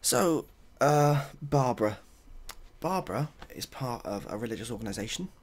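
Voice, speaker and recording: A soft-spoken man improvising a story in a hesitant, dry, rambling and self-deprecating way. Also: mid-range voice, very animated, normal speed. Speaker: ASMR video host.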